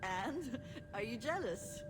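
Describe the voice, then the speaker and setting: A high-voiced, sensitive sister speaks in a narrative game. She is eager and emotionally open, with pitch that rises and falls freely. Music runs behind her words. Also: sing-song, slow, rough recording. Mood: embarrassed. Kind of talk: naturalistic game dialogue acting. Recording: music under the voice.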